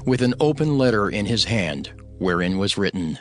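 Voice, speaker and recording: A man playing a steadfast, devout leader who narrates his own story in a solemn, measured, declamatory scripture style. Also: on the low side, sing-song. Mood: solemn, resolute. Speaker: narrator and lead character. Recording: music behind the narration.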